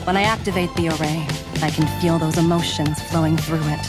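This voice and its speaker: A woman voicing a loyal, battle-hardened female android warrior in a video game, speaking in a measured, sincere, soldierly way. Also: deep voice, steady tone. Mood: grave, steady. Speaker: video game character voice actor. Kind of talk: scripted game character voicelines. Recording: studio voice under loud pop music. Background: loud pop music.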